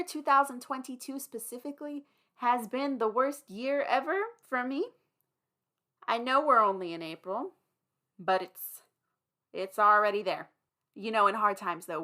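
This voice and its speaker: Female podcast host: bright, forward voice, mid pitch, chatty and conversational. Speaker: host, writer and director of an audio drama. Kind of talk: casual chatty address to listeners.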